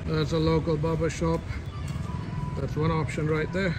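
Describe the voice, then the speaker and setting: A man's voice: fairly high pitch, monotone, moderate pace, over loud music. Chatty man filming a travel vlog. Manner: chatty, speaks in short upbeat phrases. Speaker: travel vlogger. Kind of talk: casual travel vlog narration. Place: outdoors on city streets and in a rickshaw. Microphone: phone held at arm's length for selfie video.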